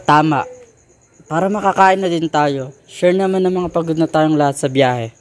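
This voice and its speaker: A man's voice: a little high, normal speed, lightly acted. A young man acting as a polite friend who secretly plots revenge, in an amateur student radio drama. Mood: friendly. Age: young adult.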